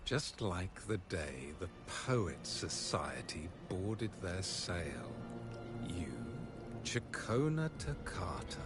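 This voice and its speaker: An actor playing a scornful, haughty religious enforcer in a video game, condescending and theatrical. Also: male voice, deep voice, sing-song, slow pace, loud music behind the voice, full character voice. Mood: contemptuous.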